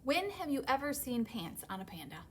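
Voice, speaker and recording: A woman voicing an exasperated, practical panda in a children's picture book, bossy and flatly contradicting. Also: high-pitched, fairly quick, a little hiss or hum.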